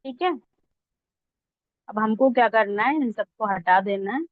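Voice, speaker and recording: A woman's voice: on the high side, measured pace, no room sound. A woman instructor giving an informal step-by-step tutorial, talking conversationally and checking that listeners follow. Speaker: instructor teaching reel editing online. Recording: dull lo-fi close dry audio.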